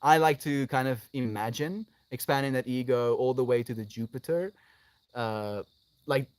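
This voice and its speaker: A man's voice: medium pitch, moderate pace, clear, resonant tone, no room sound. A man hosting a livestream, chatting casually and effusively with his viewers and addressing them affectionately as friends. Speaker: live streamer, host. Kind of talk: casual livestream chat, responding to viewer comments.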